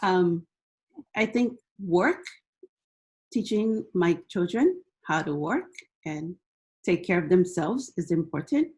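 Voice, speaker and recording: A woman's voice: lowish voice, lively intonation, slow pace, pauses often, darkish tone. A woman whose first language is Hawaiian, from Niihau, presenting slides to a webinar audience in a loose, hesitant way with frequent fillers. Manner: hesitant, many ums and restarts. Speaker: presenter, teacher educator. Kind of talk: casual presentation talk.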